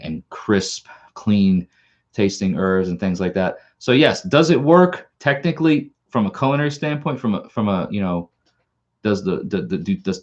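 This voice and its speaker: A man's voice: on the low side, lo-fi sound. A man with a Long Island accent, hosting a casual live stream and rambling, with lots of restarts and repeated words. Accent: Long Island New York. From Long Island, New York. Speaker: channel host.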